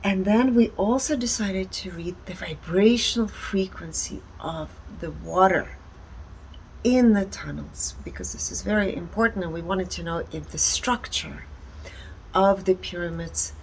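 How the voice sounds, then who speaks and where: A woman giving a conversational, explanatory presentation in deliberate short phrases. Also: medium pitch, a little mellow, poor audio. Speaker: speaker presenting energy readings. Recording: background ambient noise.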